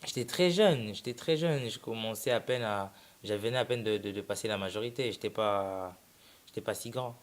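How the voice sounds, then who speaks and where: Young Congolese musician, low relaxed voice. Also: male voice, moderate pace. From Congo-Kinshasa. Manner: relaxed, rambling, with many restarts. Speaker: musician guest. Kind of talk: casual interview, mixing French and Lingala.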